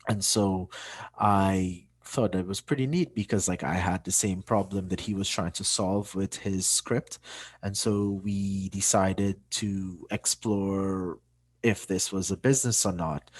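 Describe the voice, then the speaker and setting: A man's voice: low voice, dry recording. A man, a startup founder giving a plain-spoken, informal personal talk to an online community. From Trinidad and Tobago. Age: 30s. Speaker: startup founder and chief executive.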